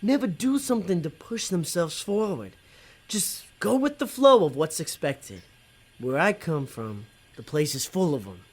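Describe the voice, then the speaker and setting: A man's voice: a little high, very animated, measured pace, husky, heavily acted. A man playing a conflicted, working-class demon tempter in an audio drama, talking in a colloquial, hesitant way. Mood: encouraging.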